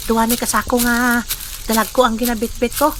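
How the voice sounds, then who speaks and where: A woman's voice: on the high side, little pitch movement, brisk, noisy background, close to an everyday voice. A woman playing a sneaky, gleeful thief who chuckles and mutters greedily in a comedic audio drama. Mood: eager greedy.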